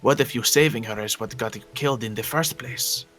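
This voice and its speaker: A man playing an eccentric guild mage in a game roleplay, explaining things in a pedantic, measured way with sudden exclamations. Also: medium pitch, a bit bright, faint music behind, lightly acted. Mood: explanatory. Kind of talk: improvised video game roleplay.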